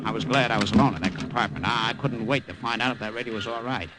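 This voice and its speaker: An actor playing a secret agent, narrating in the first person in a 1940s radio drama, wry and stammering when nervous. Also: male voice, medium pitch, quick pace, lightly acted. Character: American secret agent posing as an Italian salesman.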